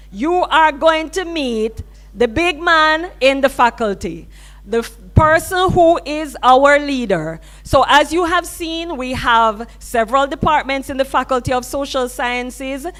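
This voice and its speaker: High-voiced woman hosting a uni orientation on a mic, upbeat, egging the crowd on. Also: fairly bright tone. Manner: upbeat, coaxing the audience. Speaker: orientation emcee. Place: lecture hall with an audience.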